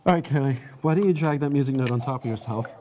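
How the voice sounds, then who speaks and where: A man's voice: medium pitch, fast talker, warm tone, slight background noise. A man giving an upbeat, step-by-step live product demo. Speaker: product demonstrator.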